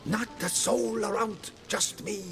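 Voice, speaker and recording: Creaky, rambling rustic fisherman. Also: high voice, steady tone, slow, crowd noise, exaggerated voice. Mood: dramatic, spooked. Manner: rambling, dramatic storytelling. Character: long-winded, superstitious, grumbling rustic fisherman. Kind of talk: fantasy video game dialogue.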